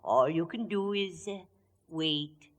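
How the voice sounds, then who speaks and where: An actor in 1940s radio style playing a fussy, hesitant, meek-mannered man of quiet menace, with a breathy, husky voice. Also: on the high side. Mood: politely menacing. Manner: hesitant, halting, politely sinister.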